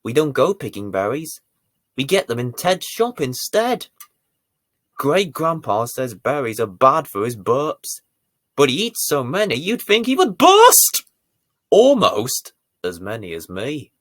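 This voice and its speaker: A man reading a bedtime story to young children as its presenter, with deliberate, theatrical emphasis. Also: medium pitch, huge pitch swings, no room sound, clean studio sound. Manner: deliberate, theatrical emphasis with dramatic swells and hushes.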